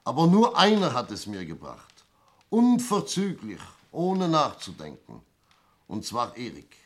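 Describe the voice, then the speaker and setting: An actor playing a shrewd police inspector in a 1960s German radio crime play, deliberate, teasing and courteous. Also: male voice, medium pitch, huge pitch swings. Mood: triumphant, explaining. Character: shrewd, theatrical male police inspector, toying with suspects.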